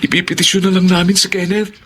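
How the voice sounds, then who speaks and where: A man playing an eager, respectful young student father in a Tagalog radio drama, boyish and a little halting. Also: high voice, flat delivery, fairly bright tone, a little hiss or hum. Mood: earnest, hopeful. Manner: eager, boyish, hesitant starts.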